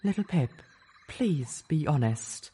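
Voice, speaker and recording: A man voicing a refined, fragile unicorn mare healer who speaks softly and pleadingly. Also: on the high side, lively intonation, slow pace, slightly rough, pitched above the performer's own voice, exaggerated voice. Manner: soft, pleading.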